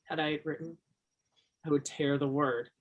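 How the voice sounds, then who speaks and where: A man's voice: a little high, steady tone, moderate pace, muffled recording, close to an everyday voice. A man acting a shy, naive, earnest young shop worker in a stage play, plainspoken. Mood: romantic.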